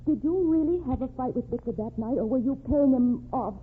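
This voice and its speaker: A woman's voice: high voice, animated, fairly quick. An actress playing a loyal, determined young American working girl in an old crime radio drama, earnest and plain-spoken. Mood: urgent. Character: loyal, determined working-class young woman, gangster's sweetheart. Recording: tinny noisy old radio recording.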